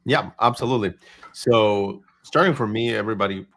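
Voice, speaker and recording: A man's voice: lowish voice, a little fast, fairly bright tone. A man from Argentina, a guest on a livestream, chatting upbeat and talkative with light humor over a video call. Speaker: agency CEO, guest. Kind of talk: casual livestream chat.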